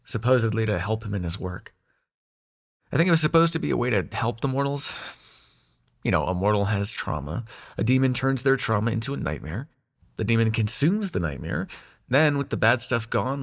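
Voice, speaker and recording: A man's voice: medium pitch, gravelly. A man acting a protective young dream god in an intimate audio roleplay, sweary and sarcastic yet tender, speaking conversationally and hesitantly to the listener.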